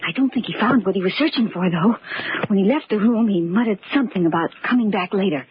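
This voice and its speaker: An actress playing an eager, loyal confidante in a 1940s radio mystery, earnest and insistent in a theatrical way. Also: female voice, mid-range voice, expressive, quick pace, lightly acted. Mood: earnest, confiding. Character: eager loyal woman confidante turned amateur sleuth. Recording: dull, noisy old radio recording.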